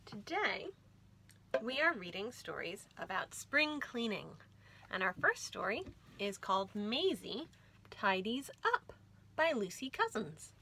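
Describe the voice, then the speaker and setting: A woman hosting storytime for young children, reading aloud in an animated, expressive way and speaking to them directly. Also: mid-range voice, slow pace, husky. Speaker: children's library storytime host.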